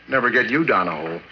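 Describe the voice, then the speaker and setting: An actor playing a skinny, easily spooked American oil-rig geologist in a 1940s radio drama, with a nervous, hesitant, colloquial way of talking. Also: male voice, mid-range voice, natural voice. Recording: muffled, noisy vintage 1940s radio recording.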